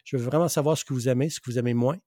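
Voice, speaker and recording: A man's voice: mid-range voice, rapid-fire, warm tone, no echo, studio quality. A middle-aged man from western Canada whose first language is English, hosting a solo podcast as a public speaking coach. He talks earnestly and emphatically, repeating key phrases for weight.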